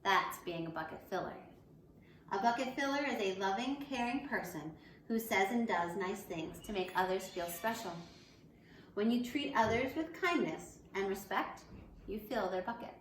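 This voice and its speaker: The recording has a clear room echo and a faint layer of noise. In it, a woman speaks at a middling pitch as a schoolteacher addressing young children. She uses simple, encouraging phrases, in the manner of a picture book read-aloud. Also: animated, moderate pace. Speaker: elementary school teacher.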